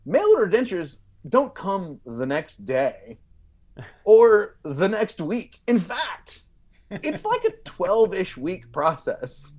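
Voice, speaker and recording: A man with a slightly high voice spins a funny story as a podcast guest. He talks casually and emphatically, circling back and repeating words as he builds each point. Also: huge pitch swings, takes it slowly, darkish tone.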